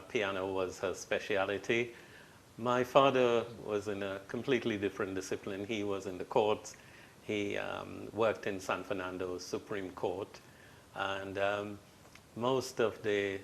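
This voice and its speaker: An older man, answering as a guest in an interview and telling his story in a measured, reflective way. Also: fairly low pitch, slight background noise. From Trinidad.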